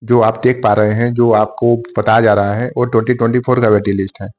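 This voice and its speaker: A man talks viewers through exam waiting-list updates in a casual explainer style. He often pauses on fillers, restarts his sentences and keeps calling his audience "guys". His voice sits at an ordinary middle pitch. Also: little pitch movement, a little mellow. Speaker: exam coaching channel host.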